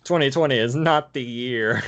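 A man trading dry, quick jokes with friends in a casual podcast chat. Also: mid-range voice, fairly bright tone, dull audio. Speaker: podcast co-host.

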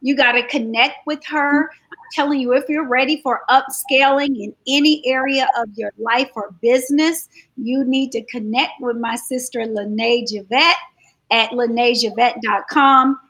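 A woman hosts an interview and pushes her guest's work with real enthusiasm. Her voice sits high and sounds bright. She speaks at a slow, deliberate pace. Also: steady tone, low-quality recording. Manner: enthusiastic, emphatic endorsements. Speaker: interview host. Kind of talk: promotional interview hosting.

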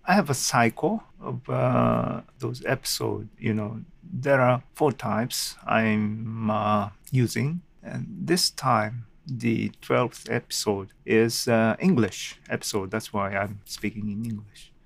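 A Japanese man with a Japanese accent hosting his own solo podcast, thinking aloud unscripted with many pauses and fillers, recorded at home on a desk microphone. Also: on the low side, expressive, gravelly, no echo. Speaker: podcast host. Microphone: desk microphone at a computer.

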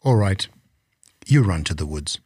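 Storybook old chief man performing: tired and aggrieved, slow, lowish delivery, dry close recording. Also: animated, a little mellow, breathy edge, no echo, exaggerated voice. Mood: resigned. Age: older. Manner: slow, weary, stern. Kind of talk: storybook character voice.

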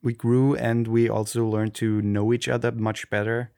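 Man, austrian german accent, native german speaker, halting, searching for words, dry close sound. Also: mid-range voice, level tone, vocal fry, dark tone, clear, resonant tone, no room sound. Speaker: podcast co-host. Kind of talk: casual chat.

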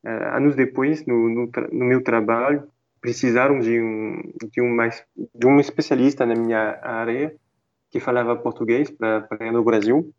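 A man's voice: mid-range voice, fairly even intonation, normal speed, lo-fi sound. A French man speaking Portuguese as a second language, a guest chatting in an interview, hesitant with many restarts and fillers. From France. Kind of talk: casual interview chat.

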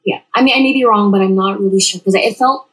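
A young woman from the Philippines by way of Dubai, a podcast guest chatting casually and haltingly, with many restarts. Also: medium pitch, slightly rough, poor audio. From Dubai-born Filipino. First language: Tagalog. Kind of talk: casual interview chat.

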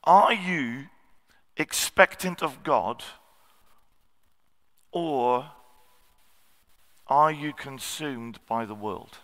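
A man, a guest preacher with a slightly husky voice, giving a sermon with many ums and cleared throats. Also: mid-range voice, extremely slow, long pauses, fairly bright tone. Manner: self-deprecating, frequent ums and throat clearing. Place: church hall. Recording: long church hall reverb.

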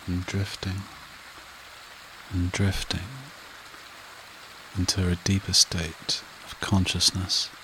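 A man leading a guided meditation and hypnosis in a soothing, repetitive manner with short phrases and long pauses. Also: deep voice, a little hiss or hum, low-quality recording. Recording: rain and running water behind voice. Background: steady rain and stream sounds.

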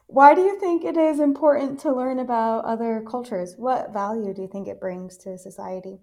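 Woman podcast host, a touch of fry in her voice, friendly and orderly. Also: fairly high pitch, normal speed, a little mellow. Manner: friendly, orderly questions and scripted sign-off. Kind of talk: interviewer.

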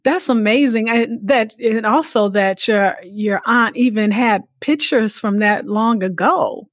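A woman's voice: fairly high pitch, a little slow. Affirming woman podcast host, dry close sound. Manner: affirming, echoes and repeats phrases for emphasis. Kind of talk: conversational interviewing.

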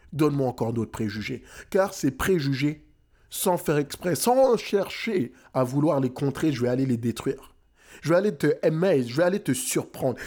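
A man's voice: on the high side, darkish tone. A young man from France giving a rousing motivational talk, emphatic and dropping English phrases into his French. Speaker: motivational speaker.

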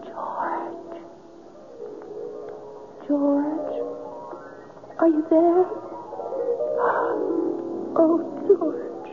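An actress playing a despairing, tender young woman in an old-time radio drama, halting and pleading. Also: high voice, little pitch movement. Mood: longing, mournful. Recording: dull old radio recording, music behind.

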